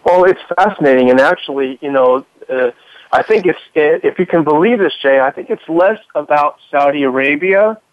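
A man's voice: on the high side, moderate pace, dry recording. Man, radio guest, slight cold, articulate, muffled dull line, dry. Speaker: guest commentator. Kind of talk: radio interview.

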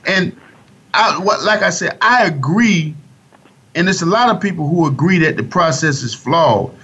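A man's voice: a little high, animated, normal speed, pushed and bright, husky. Casual, slangy man, slightly dull radio sound. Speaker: radio co-host. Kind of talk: sports talk radio chat.

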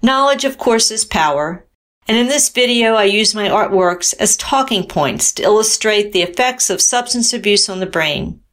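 A woman's voice: medium pitch, lively intonation, normal speed, fairly bright tone. A woman artist presenting her own work in scripted, explanatory narration.

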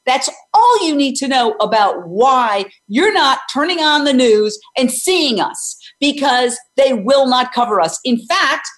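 A woman's voice: high voice, lively intonation, measured pace, hardly any pauses. A woman in her sixties hosting an interview, talking energetically and emphatically, often posing questions and answering them herself. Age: 60s. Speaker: host; former news reporter and animal rights activist. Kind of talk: energetic interview and advocacy talk.

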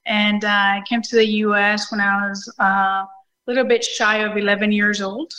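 A woman's voice: medium pitch, flat delivery, normal speed, sharp tone, rough recording. A woman with a Puerto Rican accent, telling her personal story as a guest in a remote interview. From Puerto Rico. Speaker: show guest, Air Force veteran. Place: video call.

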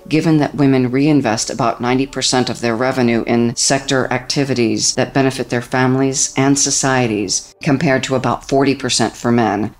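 A woman hosting a leadership podcast, reading a scripted introduction and asking interview questions in a measured way. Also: rumbling low. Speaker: podcast host. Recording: soft music bed under the voice.

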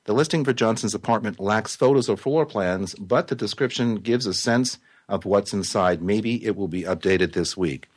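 A man hosting a live talk radio show, his voice hoarse and raspy, reading news items and chatting with a guest. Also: lowish voice, normal speed. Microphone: studio microphone.

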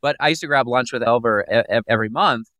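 A man's voice: mid-range voice, fast talker, sharp tone, no room sound. A man hosting an interview podcast, chatty and friendly, with teasing asides. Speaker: podcast host. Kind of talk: casual interview conversation.

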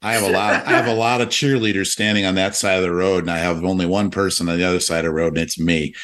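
A man's voice: sits low, steady tone, quick pace, fairly bright tone. A man in his sixties hosting a radio talk show, speaking reflectively with frequent restarts and fillers. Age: 60s. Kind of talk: casual conversation.

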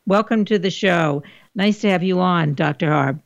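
A woman's voice: on the low side, moderate pace, gravelly, dry recording, lo-fi sound. A woman hosting an interview, asking questions in a rambling, self-correcting way. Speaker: interview host. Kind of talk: interview conversation.

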